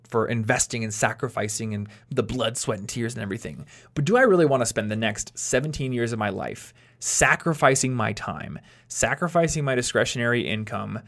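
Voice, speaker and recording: A man in his late twenties hosting a podcast, explaining things in a relaxed chat while thinking aloud and restarting his sentences. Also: medium pitch. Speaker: podcast host.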